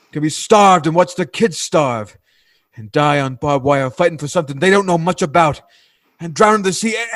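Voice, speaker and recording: A plain-spoken North American working man from a 1940s radio drama, played by a male actor. He gropes for words in slangy, halting phrases, earnest and indignant about the rich. The sound is dry and close. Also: sits high, dry recording.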